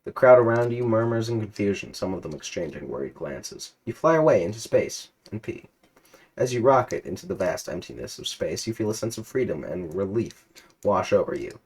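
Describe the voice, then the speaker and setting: A man reads a text adventure aloud in a mock-dramatic, deadpan way. His voice sits fairly low and his delivery stays dry. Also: fairly quick, husky. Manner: deadpan narration, prone to laughing fits.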